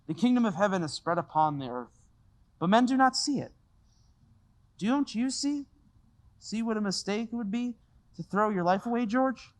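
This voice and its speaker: A performer playing a gentle wise guardian angel. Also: medium pitch, normal speed, long pauses, lightly acted. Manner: calm, gently instructive.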